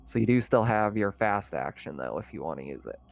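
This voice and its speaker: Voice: low voice, animated, fairly quick, vocal fry. A game master explaining rules and outcomes conversationally while running a tabletop roleplaying game.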